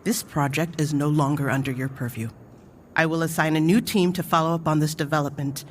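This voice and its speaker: A woman acting a stern, commanding mentor in an audio drama, speaking in a measured, reproachful way. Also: low voice, fairly even intonation, a little hiss or hum, natural voice. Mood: cold, disappointed.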